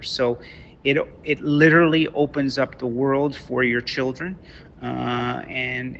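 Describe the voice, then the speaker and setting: A man whose first language is French, from Canada, giving a talk to parents as a teacher, halting with many restarts. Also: medium pitch, vocal fry, a bit bright, highs cut off, slight background noise. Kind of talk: online lecture to parents. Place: Toronto.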